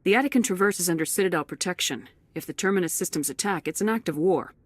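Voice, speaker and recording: A woman playing a brisk military commander. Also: fairly low pitch, lightly acted. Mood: composed. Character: female military commander, direct and composed. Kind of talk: video game cutscene acting.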